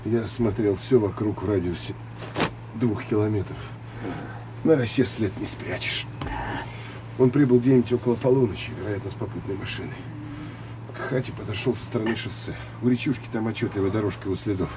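An actor playing a tough, blunt and weary Soviet counterintelligence officer in a Russian radio drama, talking in a terse, gruff way. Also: male voice, lowish voice, fairly quick, noisy background, natural voice. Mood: reporting firmly.

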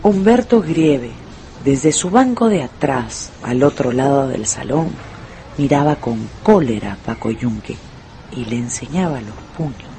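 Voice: mid-range voice, animated, a little slow, background noise. A narrator reading scripted literary narration for a radio drama.

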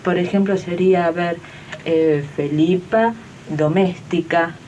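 Older woman played by an actress, Argentine accent, hesitant colloquial domestic worker, mellow voice, radio drama, faint noise. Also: fairly low pitch, fairly even intonation, a little slow, highs cut off, close to an everyday voice. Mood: warming to idea. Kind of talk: amateur radio drama dialogue. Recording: slightly distant, faint background noise.

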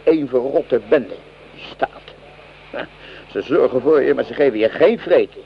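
A man's voice: medium pitch, a little slow, creaky, a little hiss or hum. A man playing a hungry, mocking French common soldier in a Dutch radio drama, with coarse, sneering complaints. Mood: bitter scorn. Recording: dull lo-fi radio play recording.

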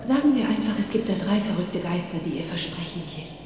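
A woman playing the gentle, angelic ghost of a loving mother in an audio drama, tender, reassuring and solemn. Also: lowish voice, animated, quick pace, music in the background, close to an everyday voice. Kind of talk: film audio drama acting. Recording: echoey hall, distant, faint noise.

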